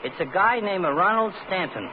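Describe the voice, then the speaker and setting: High-voiced slangy old-radio crook. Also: male voice, lively intonation, normal speed. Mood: apologetic, matter-of-fact. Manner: slangy, apologetic, matter-of-fact. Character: genial dim-witted small-time crook and hired gunman. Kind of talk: 1950s radio acting. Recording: tinny, noisy old radio recording.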